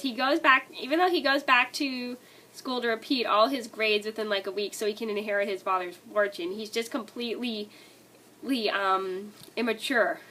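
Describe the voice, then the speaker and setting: A woman's voice: on the high side, pushed and bright. A woman vlogger with a cold, stuffy nose and scratchy throat, chatting casually and rambling through a movie list. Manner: rambling, many likes and restarts.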